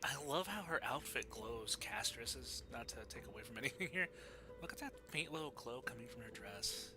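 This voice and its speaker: A man's voice: medium pitch, animated, brisk, over music. A man livestreaming a game, giving enthusiastic, casual, chatty reaction commentary.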